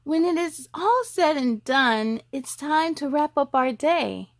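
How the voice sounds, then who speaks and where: A woman hosting a children's story time, reading aloud and addressing young viewers in an animated, sing-song way. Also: high voice, slow. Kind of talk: children's read-aloud with chatty asides.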